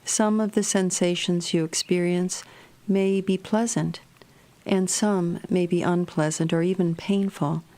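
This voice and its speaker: A woman narrating a guided meditation in a gentle, measured, soothing manner. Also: on the low side, steady tone.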